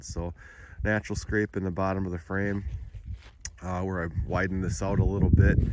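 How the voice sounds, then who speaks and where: A sick man whose voice sounds nasal, giving a relaxed, rambling narrated tour as a landowner. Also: sits low, slight background noise. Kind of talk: casual narrated drone tour.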